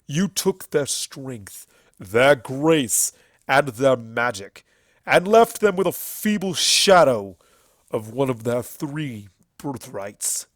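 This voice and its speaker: A man's voice: mid-range voice, sing-song, slow, no room sound. A bitter and ancient stallion from a fantasy storybook, performed by a man. He declaims his accusations with heavy scorn, stretching key words for emphasis. The sound is close and dry. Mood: scornful fury.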